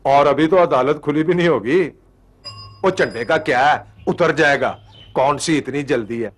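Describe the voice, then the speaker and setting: An actor playing a cocky, hopeful horse-cart driver in a television drama, speaking colloquially with a Punjabi accent in a jaunty, sardonic way. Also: male voice, on the high side, normal speed, lightly acted. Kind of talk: colloquial television drama acting, musing aloud.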